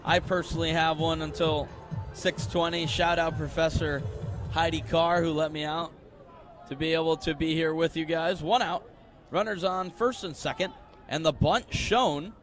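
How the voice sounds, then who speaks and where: Young man, American accent, high-pitched voice, radio sports play-by-play, conversational, faint music behind. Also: steady tone, normal speed. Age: young adult. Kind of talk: live radio baseball and basketball play-by-play.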